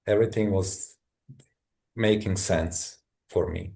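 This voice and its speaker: A middle-aged man from Czechoslovakia with a Czech accent, a guest telling his story in a halting, thoughtful way with many restarts. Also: deep voice, fairly even intonation, gravelly, a little mellow. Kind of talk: personal story in a conversation.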